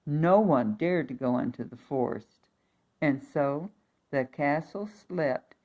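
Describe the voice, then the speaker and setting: A woman reading a fairy tale aloud for young children, expressive, with chatty asides and emphatic repetition. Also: low-pitched, slow pace, poor audio.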